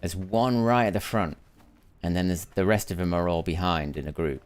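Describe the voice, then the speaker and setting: Tired, low-voiced man streaming a game. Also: normal speed. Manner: chatty, muses aloud, often repeats words while restarting. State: tired after a sleepless night, voice lower than usual. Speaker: gaming streamer. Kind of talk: casual live gameplay commentary.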